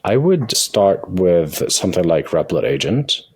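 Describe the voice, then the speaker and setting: A man with a husky voice speaking as a guest in an interview, explaining things in a halting way with frequent restarts. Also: low-pitched, big pitch swings, a little mellow, dry recording. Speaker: guest, tech company founder and CEO. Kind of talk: interview conversation with software demo.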